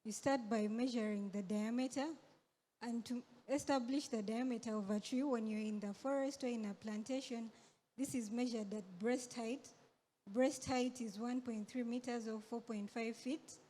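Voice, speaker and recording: A woman's voice: on the high side, steady tone, dark tone. Woman explaining in an echoing hall. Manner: measured, explaining step by step. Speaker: presenter. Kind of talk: explanatory stage presentation. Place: conference stage.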